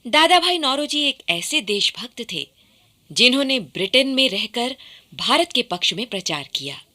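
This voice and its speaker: Woman narrating a history radio program, formal but very animated pitch, bright forceful voice. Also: moderate pace. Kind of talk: scripted radio documentary narration.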